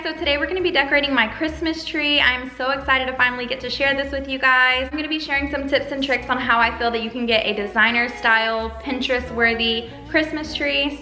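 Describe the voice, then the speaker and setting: A woman's voice: sits high, pushed and bright. A woman vlogger chatting upbeat through a decorating tutorial at home. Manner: upbeat, chatty, lots of "go ahead" and "you guys". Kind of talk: casual tutorial chat. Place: room in her home while decorating. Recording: echoey room, faint music behind.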